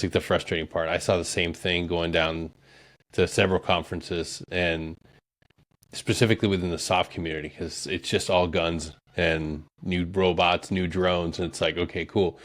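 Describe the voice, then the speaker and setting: A man with a deep, low-pitched voice hosts a casual podcast chat. He speaks bluntly and swears freely, and his voice climbs when something frustrates him. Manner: blunt, profane, animated when riled. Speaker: podcast host.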